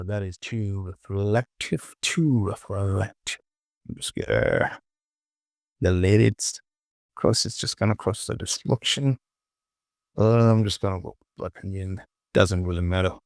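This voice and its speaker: Male tutorial host, unhurried and croaky, casual self-muttering narration with repeated short phrases. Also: fairly low pitch, animated, a little mellow, dry recording, studio quality. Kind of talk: casual screen-recorded software tutorial narration.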